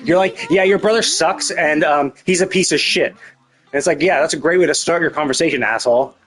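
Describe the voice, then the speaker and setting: A man's voice: fairly high pitch, a little fast, a bit bright, husky. A man streaming a story game, thinking aloud in a casual, rambling, sarcastic way and swearing freely. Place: indoors, livestream setup. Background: music.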